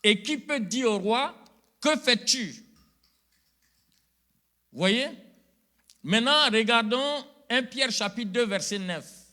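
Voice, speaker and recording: A middle-aged male pastor preaches in a church. His voice sits high and rings bright, and he takes his time, drawing the congregation into call and response before climbing to loud, emphatic peaks. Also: animated, takes it slowly, long pauses. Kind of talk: sermon.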